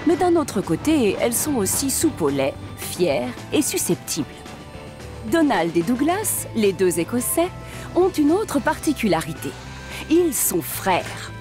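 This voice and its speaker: A woman's voice: on the high side, sing-song, measured pace, music in the background. A woman narrating a children's story in a scripted storytelling style.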